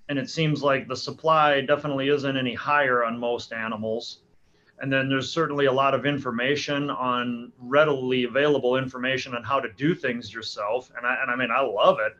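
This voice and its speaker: A man's voice: mid-range voice, steady tone, normal speed, bright, forceful tone. A man in his forties, a hunting guide, answering interview questions casually with frequent restarts and fillers. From Alaska. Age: 40s. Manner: hesitant, many restarts and fillers. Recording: dull lo-fi sound.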